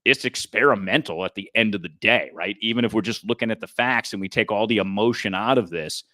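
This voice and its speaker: A man's voice: fairly low pitch, a little fast, pushed and bright, dry recording, clean studio sound. A man co-hosting a podcast, explaining in an earnest, casual political-talk manner. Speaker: podcast co-host.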